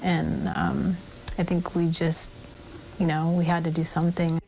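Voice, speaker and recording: Low, creaky-voiced American woman, even tone. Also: unhurried, poor audio. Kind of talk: unscripted interview. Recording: faint background ambient noise.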